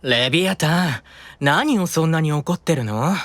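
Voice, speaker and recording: A man playing a gentle, patient, softly teasing angel in an improvised audio drama, with a calm, soothing manner. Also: a little high, a little slow. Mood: amused, puzzled.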